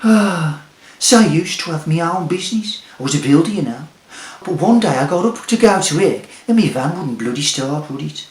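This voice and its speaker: A man voicing a scruffy local builder who talks loudly and rambles. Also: a little high, animated, normal speed, natural voice. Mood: rambling. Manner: loud, rambling, folksy. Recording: echoey room.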